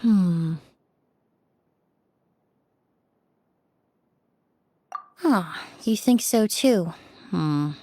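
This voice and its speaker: A woman playing a composed, perceptive young forensic cleaner in a video game, polite, measured and articulate. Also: medium pitch, expressive, mellow, no room sound, clean studio sound. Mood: pensive.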